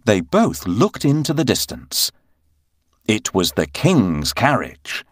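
Male narrator for children's fairy tale, low-pitched, highly animated intonation, unhurried, dry close recording. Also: no room sound. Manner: deliberate, expressive storytelling delivery. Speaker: storybook narrator.

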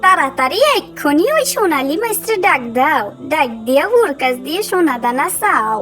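Shrill Bangladeshi village wife. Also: female voice, expressive, normal speed, a bit bright, soft background music. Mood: scolding. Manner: shrill nagging, dramatic exclamations. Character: greedy, scolding, excitable village wife. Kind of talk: exaggerated cartoon voice acting.

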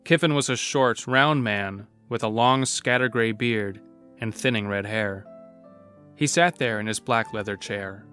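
A man's voice: fairly low pitch, unhurried, pushed and bright. A man narrating a scripted horror story. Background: music.

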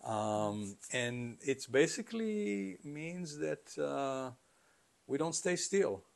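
A middle-aged man giving conversational, explanatory interview answers in a halting way, as a company founder. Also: on the high side, expressive, gravelly. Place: carpeted listening room, indoors.

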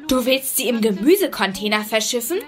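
A woman's voice: on the high side, lively intonation, a little fast, lightly acted. A woman playing an uneasy, evasive fruit seller and reluctant accomplice in a German audio drama. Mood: uneasy, indignant. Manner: hesitant, evasive.

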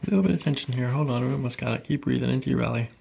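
A middle-aged man working as a chiropractor, calmly guiding a patient in short, reassuring phrases in an ASMR style. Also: medium pitch, racing, vocal fry. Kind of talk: calm hands-on ASMR treatment talk, instructing a patient. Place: small carpeted treatment office, indoors. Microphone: microphones on boom stands near the table.

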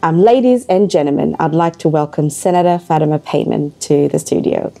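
A woman's voice: deep voice, lively intonation, fairly quick, creaky. A woman hosting a TV studio interview, greeting her guest formally and affirming often with "beautiful" and "yeah". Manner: warm formal welcomes, frequent affirming interjections. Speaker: talk show host. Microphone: table microphone.

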